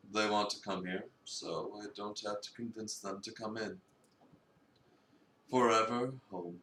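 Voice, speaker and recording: Visual novel cafe owner, read by a man: brooding, formal, deep-leaning and deliberate, recorded off-mic with background noise. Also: a bit bright. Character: brooding, theatrical eccentric male cat cafe owner. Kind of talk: dramatic reading of a visual novel. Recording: echoey room, distant mic, noisy.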